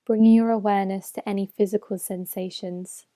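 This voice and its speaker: A woman leading a guided meditation as a mindfulness teacher, speaking in a gentle, measured way with phrases that soften at the end. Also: medium pitch, fairly even intonation, dry recording. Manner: gentle, measured, unhurried instruction with soft phrase endings. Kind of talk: slow guided meditation narration.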